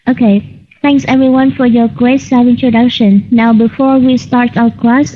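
A woman teaching an online English class, correcting students patiently and clearly. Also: fairly high pitch. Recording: lo-fi online call audio.

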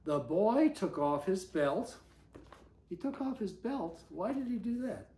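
Older man reading aloud, slow and expressive. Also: high-pitched, echoey room. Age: older, about 70s. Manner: gentle asides and questions to the listener. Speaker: story reader. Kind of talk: animated storybook reading aloud to a small child. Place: living room armchair, indoors. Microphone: camera a few feet away.

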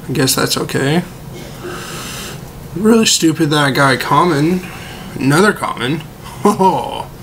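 Young male gaming commentator, slightly hoarse, slow and laid-back, faint noise behind. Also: a little high, fairly bright tone. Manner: laid-back, mildly disappointed, drawn-out. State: losing his voice. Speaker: gaming video creator. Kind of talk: casual gameplay commentary.

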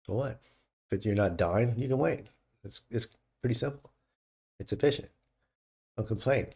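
Gravelly opinionated American man, rambling. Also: mid-range voice, moderate pace, a little mellow. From United States. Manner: rambling, opinionated, restarts often. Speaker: channel host. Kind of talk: casual to-camera monologue. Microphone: studio condenser mic on stand.

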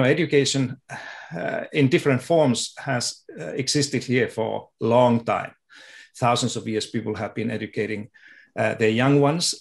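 A middle-aged man from Finland with a Finnish accent, speaking as a guest on a video call, thoughtful and halting with frequent restarts. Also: mid-range voice, creaky. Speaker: guest education researcher. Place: home room with sofa and guitar. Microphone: video call webcam.

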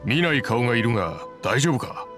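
An actor plays a loyal young craftsman for an anime game. He talks casually and bluntly at a middling pitch. Music is clearly heard behind him. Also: sing-song, brisk, lightly acted. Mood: wary, matter-of-fact. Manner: casual, blunt masculine speech. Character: young boatbuilder, wary but loyal, easygoing friend.

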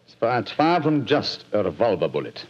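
An actor playing a brisk, commanding middle-aged detective with a crisp, clipped, theatrical delivery, in 1940s radio acting on a lo-fi broadcast. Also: male voice, on the low side, very animated.

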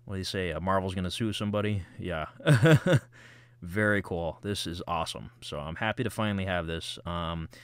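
Man, low voice, dry close sound, casual unboxing vlog, rambling with ums and chuckles. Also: normal speed, smooth, no echo. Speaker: collectible unboxing vlogger.

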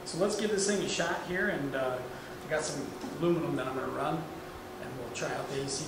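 A man's voice: a little high, brisk. A middle-aged man giving a casual, plain-spoken, hands-on product demonstration. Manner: informal, plain-spoken, practical. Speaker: product reviewer, welding demonstrator. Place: indoor workshop demo area with hanging curtains. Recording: echoey room, loud constant fan hum. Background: machine fan and ventilation noise.

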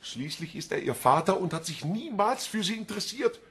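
Earnest activist suspect, higher husky male voice, polite but guarded, crime radio play. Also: very animated, fairly bright tone, heavily acted. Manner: polite, measured, defensive. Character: earnest male protester under police questioning, evasively polite. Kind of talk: radio crime drama acting.

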